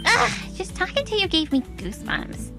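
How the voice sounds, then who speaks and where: A woman's voice: on the high side, very animated, normal speed, over music. A woman playing a haughty, condescending, sneering rich in-law in a manga dub. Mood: disgusted. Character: haughty, mocking rich female in-law, cruel snob.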